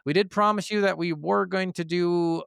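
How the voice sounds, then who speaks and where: A man's voice: high-pitched, steady tone, normal speed, creaky, a bit bright, clear, resonant tone, no room sound, clean studio sound. A man co-hosting a casual gaming podcast, talking in an enthusiastic, profanity-laced way. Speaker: podcast co-host.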